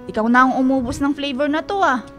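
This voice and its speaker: A woman's voice: high-pitched, quick pace, fairly bright tone, soft background music. An actress playing a chatty, teasing middle-aged woman storekeeper in a Filipino radio drama, with motherly familiarity. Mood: fond, chatty.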